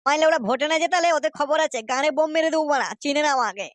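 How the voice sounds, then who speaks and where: A woman's voice: sits high, animated, very fast, dry recording. A woman voicing a bullying, foul-mouthed Bengali village gang boss in a comedy cartoon, coarse and blustering. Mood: threatening.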